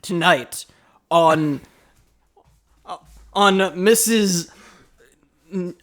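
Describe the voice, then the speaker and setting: A woman voicing a grand radio mystery announcer, improvising a theatrical, halting introduction. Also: on the low side, voice pushed down. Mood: solemn, theatrical.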